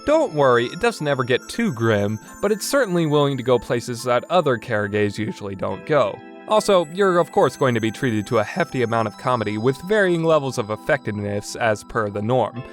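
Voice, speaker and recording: A man's voice: medium pitch, big pitch swings, brisk, hardly any pauses. A man delivering a semi-scripted review commentary in a conversational, opinionated way, like a podcast host. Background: background music.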